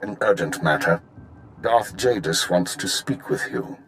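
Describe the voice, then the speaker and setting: Deep-voiced, stern spymaster. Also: male voice, lively intonation, measured pace, a bit bright, a little hiss or hum, heavily acted. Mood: stern, grave. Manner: formal, authoritative. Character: stern, measured male spymaster superior. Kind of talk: video game voice acting.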